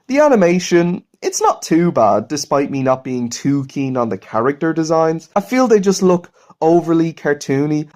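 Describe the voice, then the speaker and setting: Young man ranting about movies. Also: a little high. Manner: blunt, emphatic, repetitive ranting. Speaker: film critic YouTuber. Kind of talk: casual movie ranking review.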